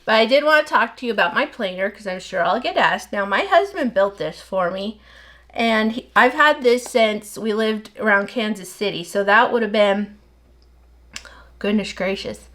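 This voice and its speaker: A middle-aged woman soap maker with a slightly nasal voice, chatting casually and rambling as she works, talking fast without pausing for breath. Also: medium pitch, fairly bright tone. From United States. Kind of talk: casual chat while working.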